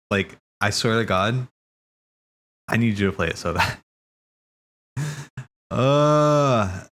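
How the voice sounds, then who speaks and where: A man's voice: fairly low pitch, fairly bright tone. A man chatting casually on a livestream as he watches game cutscenes, rambling and thinking aloud.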